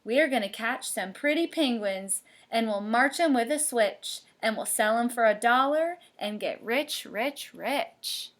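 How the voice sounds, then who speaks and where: A woman voicing rough, tough hunters in a children's storybook, in a growling, menacing manner. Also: a little high, lively intonation, measured pace, few pauses. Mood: menacing chant. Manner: growling, chanting.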